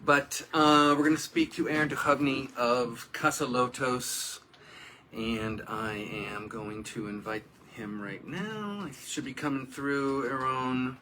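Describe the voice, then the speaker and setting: A man's voice: medium pitch, steady tone, measured pace, pushed and bright, slight background noise. A man hosting a casual livestream interview on a tablet, talking to his audience while he sets up the call. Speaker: show host. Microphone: video call on a tablet.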